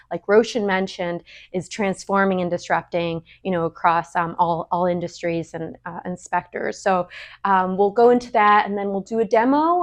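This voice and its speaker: A young woman giving a relaxed presentation to a small group. She speaks at a middle pitch and keeps things chatty, with frequent fillers and self-corrections as she talks. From El Salvador, raised in Austin, Texas. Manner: chatty, with many fillers and restarts. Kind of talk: casual presentation talk.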